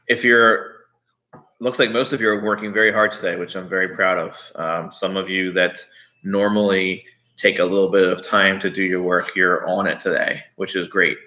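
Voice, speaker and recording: A low, forward-sounding male voice on a slightly dull recording. He speaks as a grade school teacher, talking pupils through things in a conversational, instructive way. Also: pushed and bright. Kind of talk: informal online read-aloud and assignment talk to pupils.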